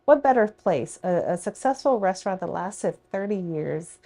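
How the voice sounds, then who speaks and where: Female restaurant owner from Thailand, mellow voice, chatty storyteller. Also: medium pitch, expressive, normal speed. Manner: chatty, warm storytelling, light laughs. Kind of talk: casual interview.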